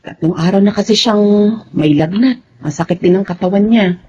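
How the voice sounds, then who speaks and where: A woman's voice: medium pitch, normal speed, a little mellow, slightly rough, highs cut off, close to an everyday voice. A woman playing a worried, dutiful wife in a Filipino radio drama, speaking respectfully to an elder. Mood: concerned. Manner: respectful, anxious.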